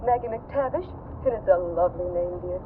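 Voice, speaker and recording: A woman's voice: fairly low pitch, fairly quick, close to an everyday voice. An actress playing a tender, devoted young wife in a vintage 1940s radio drama, sweet and melodramatic in her delivery. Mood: rapturous, tender. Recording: tinny, noisy vintage radio through car speakers. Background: car ambience.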